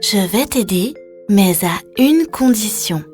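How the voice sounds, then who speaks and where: A motherly good fairy played by a woman from a storybook. She is kind yet firm, gently admonishing in a middle-pitched voice over soft music. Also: animated, takes it slowly.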